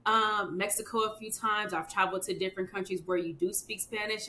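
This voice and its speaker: A woman talking casually as a podcast guest, chatty, with many fillers and "you know what I mean" asides. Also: sharp tone. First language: English. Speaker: podcast guest, children's book author. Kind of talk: casual interview chat. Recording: echoey room with faint rumble.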